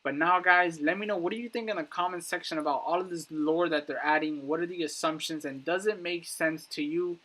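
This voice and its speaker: Guy doing casual game lore breakdown, kinda high sharp voice, talks right at viewers, really emphatic. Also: brisk, hardly any pauses. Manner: emphatic, addresses viewers directly. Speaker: YouTube gaming content creator.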